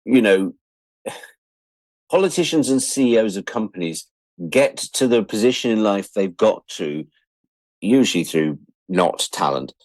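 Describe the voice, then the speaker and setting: Low-voiced wry Englishman. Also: animated, unhurried, pauses often, darkish tone, no room sound, rough recording. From England. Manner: wry, self-deprecating, acts out imagined quotes. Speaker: interview guest. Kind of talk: reflective casual chat.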